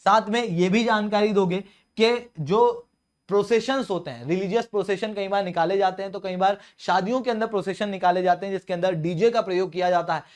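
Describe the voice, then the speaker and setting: An Indian teacher delivers an explanatory lecture at a mid-level pitch. The delivery is didactic, setting up questions and then answering them. English technical terms are mixed in throughout. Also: fairly even intonation, a little fast. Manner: mixes in many English terms. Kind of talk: explanatory exam-prep lecture.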